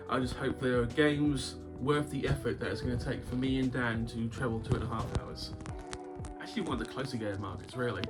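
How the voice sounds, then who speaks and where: A man's voice: mid-range voice, steady tone, normal speed, poor audio. A man hosting a casual game-collecting vlog. He has a sore throat, a cough sweet in his mouth, and a rambling, wry manner. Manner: rambling, wry, chuckles often. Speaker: YouTube game collector host. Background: background guitar music.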